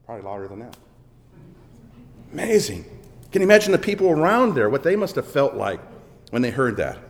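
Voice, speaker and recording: A man preaching a sermon with dramatic swings between booming emphasis and hushed asides, in a church. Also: big pitch swings, fairly quick. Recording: long echo of a large hall.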